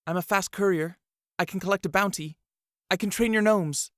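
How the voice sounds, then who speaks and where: A man's voice: sits high, normal speed, a bit bright, no echo, studio quality, pitched above the performer's own voice. Groveling young courier, as a man puts him on, raised pleading voice, storybook hero in trouble. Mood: begging.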